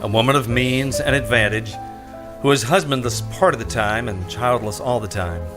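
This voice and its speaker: A man's voice: medium pitch, moderate pace, lightly acted. Wry private detective, played by a man, over music. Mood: dry, sympathetic. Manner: dry, probing. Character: wry, shrewd, skeptical male private detective. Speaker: lead detective and narrator. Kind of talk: detective radio drama acting and narration.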